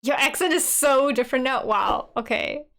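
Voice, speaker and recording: A woman's voice: sits high, moderate pace, vocal fry, fairly bright tone, soft background music. A woman in her twenties with a German accent, chatting casually as a streamer reacting to her old video, full of "like" and frequent laughs. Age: 20s. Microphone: USB studio microphone.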